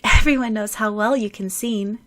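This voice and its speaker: A woman's voice: mid-range voice, moderate pace, pitched above the performer's own voice, lightly acted. A woman voicing a sweet, easily impressed schoolgirl in an audiobook of anime fan fiction, earnest and admiring.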